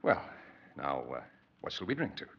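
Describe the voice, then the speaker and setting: An actor playing a scheming, falsely soothing murderer in a 1940s radio horror drama, speaking in a halting, over-polite, theatrical way. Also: male voice, extremely low pitch, big pitch swings, fairly bright tone, slight background noise, full character voice. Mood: sinister, calm. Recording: dull, lo-fi vintage 1940s radio recording.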